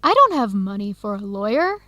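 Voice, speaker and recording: A woman playing a determined young American woman in an English-learning radio drama, speaking in clear, simple scripted sentences with an American accent. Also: medium pitch, big pitch swings, fairly quick, sharp tone, dry recording. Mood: resolute. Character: Helen, determined young American woman searching for birth family.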